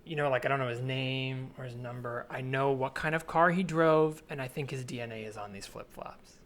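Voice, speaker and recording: A man's voice: mid-range voice, steady tone, a little fast, fairly bright tone, natural voice. A man improvising a comedy scene as a sheepish, obsessive man asking a favour, rambling and hesitant. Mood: obsessive, pleading. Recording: remote recording with faint background noise.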